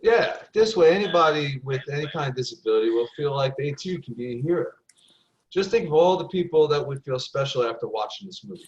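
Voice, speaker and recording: A man's voice: fairly high pitch, animated, a little fast, lo-fi sound, lightly acted. A man playing an earnest film producer running a story meeting in a table read. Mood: businesslike.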